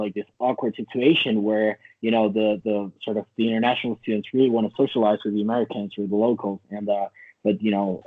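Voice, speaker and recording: A man hosting a casual interview, asking meandering, loosely phrased questions over a thin, narrow-band connection. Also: fairly low pitch. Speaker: interviewer, host.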